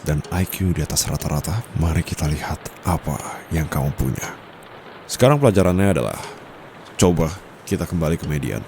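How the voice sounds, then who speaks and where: A man playing a very deep, gravelly teasing tutor. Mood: instructive. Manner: soft-spoken, teasing, slow and close. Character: teasing young male private tutor, secretly dominant. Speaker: voice actor. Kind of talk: ASMR roleplay. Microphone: close condenser mic. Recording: steady background noise with traffic.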